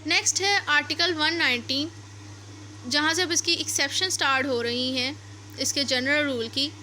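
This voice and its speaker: A woman's voice: sits high, normal speed, sharp tone, slight background noise. A woman teaching law, reading passages aloud and then explaining them step by step, repeating and rephrasing her points. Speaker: law lecturer.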